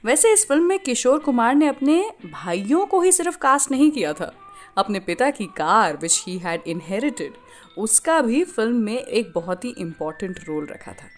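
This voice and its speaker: A woman's voice: fairly high pitch, very animated, measured pace, soft background music. A woman with an Indian accent hosting a radio show, telling stories in a scripted but conversational way, putting questions to listeners and mixing in English phrases.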